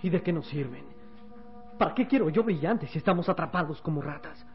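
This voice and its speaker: A man playing an anxious, wry young boy companion in a vintage radio serial, with a husky, pleading voice. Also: fairly high pitch, lively intonation, a little fast, faint music behind, natural voice. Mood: worried. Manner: worried, pleading, questioning. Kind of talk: vintage Spanish-language radio serial acting. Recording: dull, lo-fi old radio serial recording.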